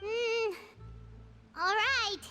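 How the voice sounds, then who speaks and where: Squeaky, very high-pitched little fairy. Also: female voice, fairly even intonation, extremely slow, sharp tone, faint music behind, exaggerated voice. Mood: wary, then eager. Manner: perky, exclamatory, refers to herself in the third person. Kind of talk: video game voice acting.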